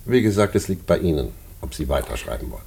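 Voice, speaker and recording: An actor in a German radio play playing a cool, authoritative older prison director, formal and deliberate. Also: male voice, very deep voice, very animated, creaky, a little mellow, a little hiss or hum, full character voice. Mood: cool, controlled.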